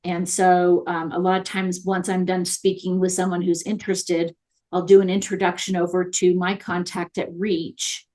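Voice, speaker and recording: A woman's voice: lowish voice, flat delivery, moderate pace, a little mellow. A woman guest in an interview, talking in a chatty, upbeat way with many fillers. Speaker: guest consultant on virtual assistants. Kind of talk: conversational interview.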